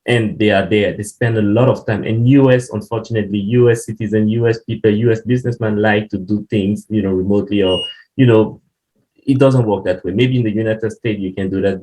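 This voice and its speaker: A man from Cameroon, a company CEO, speaking on a webinar panel in an earnest, halting way with frequent fillers over a remote call mic. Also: lowish voice, steady tone, warm tone, rough recording.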